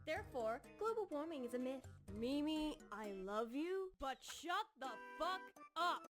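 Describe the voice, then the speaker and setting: High, bickering cartoon youngster. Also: female voice, animated, a little slow, fairly bright tone, faint music behind. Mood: argumentative. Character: bickering young cartoon adventurer, excitable and opinionated. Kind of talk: cartoon parody dub acting.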